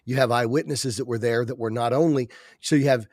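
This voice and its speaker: A man with a stuffy, congested voice talks through a point with earnest conviction, restarting his sentences as he goes. His voice sits at a middling pitch. The sound is dry and close, with no room around it. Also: steady tone, a little fast, a little mellow, dry recording. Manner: many restarts, earnest arguing. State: stuffy nose. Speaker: podcast co-host. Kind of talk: casual religious discussion.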